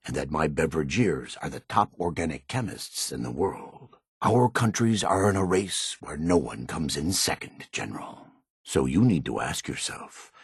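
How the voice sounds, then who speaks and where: A shrewd, domineering middle-aged tycoon, with a low and heavily rasping voice. He drives hard bargains in measured, businesslike game dialogue, his intonation moving widely with self-assured authority. The sound is dry and close. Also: no room sound, full character voice. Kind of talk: video game voice acting, recorded log.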